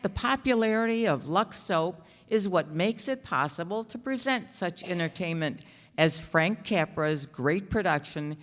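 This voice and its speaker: A woman announcing and narrating a scripted radio show in an old-time style. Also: on the low side. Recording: echoey hall, music behind. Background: music.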